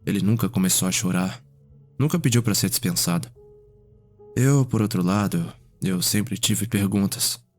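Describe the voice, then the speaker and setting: Male, low voice, ASMR roleplay as Cedric-type vampire noble: reflective, unhurried storytelling over music. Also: darkish tone.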